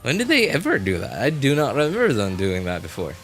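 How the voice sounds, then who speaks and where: A man's voice: mid-range voice, wildly swooping pitch, fast, fairly bright tone, faint music behind. A man live-streaming a game with casual commentary, chatting to viewers in a rambling way, often repeating and rephrasing himself.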